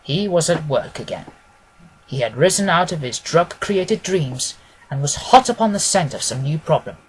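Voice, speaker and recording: A man narrating a classic detective story as an audiobook, reading in a measured, formal, expressive way. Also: on the high side, slight background noise, rough recording.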